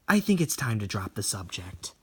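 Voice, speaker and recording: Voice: mid-range voice, very animated, normal speed, husky, voice pushed down. A performer voicing a snobby, beautiful young woman in a horror story, haughty and theatrical, drawing out words. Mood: smug, coy.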